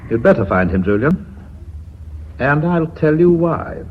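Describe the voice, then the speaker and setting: Knighted British company director, radio drama: commanding, formal, lively intonation, on a dull old recording. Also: male voice, fairly high pitch, husky, heavily acted. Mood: firm, resolute. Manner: measured, authoritative, formal.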